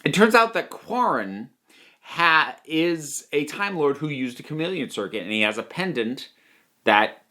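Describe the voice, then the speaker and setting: A man's voice: a little high, animated, unhurried, bright, forceful tone. A man giving a conversational, opinionated review to viewers, leaning on key words for emphasis. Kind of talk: spoken audio drama review to viewers.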